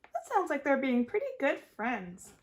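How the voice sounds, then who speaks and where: A woman reading a picture book aloud as a teacher, expressive and putting questions directly to young listeners. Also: sits high, moderate pace. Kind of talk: animated children's read-aloud with questions.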